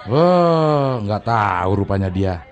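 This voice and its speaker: A man's voice: fairly low pitch, sing-song, takes it slowly, some chatter behind. A man bantering casually as a panel regular, teasing and querulous.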